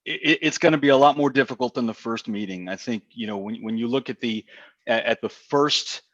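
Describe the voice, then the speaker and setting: Halting man with a muffled sound. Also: mid-range voice, fairly bright tone, dry recording. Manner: teasing, hesitant, many restarts. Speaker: podcast guest, stadium announcer. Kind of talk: casual sports talk.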